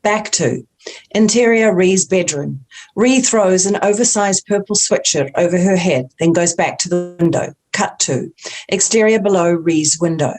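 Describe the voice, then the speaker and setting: A woman's voice: lowish voice, a little slow, darkish tone. A woman reading the stage directions at a script table read.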